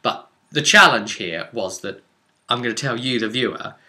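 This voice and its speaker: A man's voice: mid-range voice, normal speed, pushed and bright. A man recounting a tabletop role-playing session as game master, informal and rambling, with descriptive detail and dramatic emphasis on key words.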